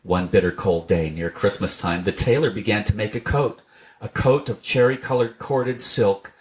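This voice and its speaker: A man reading a children's tale aloud as scripted storybook narration. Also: on the low side. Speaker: storybook reader.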